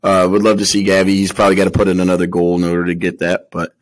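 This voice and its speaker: A man with a low voice co-hosts a sports podcast. He talks casually and with slang, working through his analysis out loud. He often puts his thoughts as questions. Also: little pitch movement, racing, poor audio. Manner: slangy, questioning, thinking aloud.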